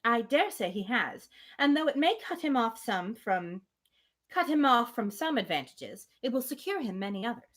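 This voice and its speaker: A woman reading a classic novel aloud on a livestream, expressive, slipping between literary narration and casual, playful asides. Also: mid-range voice.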